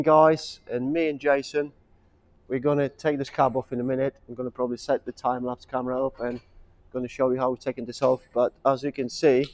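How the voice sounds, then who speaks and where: A man's voice: medium pitch, a little mellow. A man from Poland with a Polish accent, speaking English as a second language, giving a plain step-by-step walk-around of a truck in a repair workshop. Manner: plain, explains step by step to viewers. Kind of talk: casual walk-around vlog.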